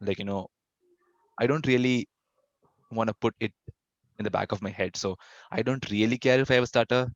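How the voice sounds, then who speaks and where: Young guy with a stutter, low-ish voice, kinda dull dry recording. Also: steady tone, brisk, pauses often, dry recording. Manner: stutters, frequent restarts. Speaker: interviewee, fest founder. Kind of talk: casual interview answers.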